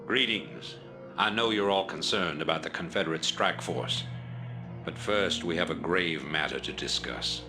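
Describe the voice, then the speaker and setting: Male rebel leader, deep voice, measured, authoritative, oratorical, calculating, video game cutscene. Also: over music. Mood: grave.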